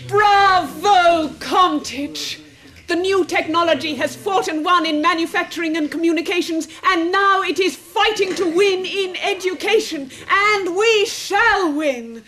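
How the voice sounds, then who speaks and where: A woman's voice: extremely high pitch, a little slow, sharp tone, full character voice. A woman in a radio drama playing a zealous teacher, giving rousing, declamatory speeches. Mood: triumphant. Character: zealous, triumphant female teacher championing new technology.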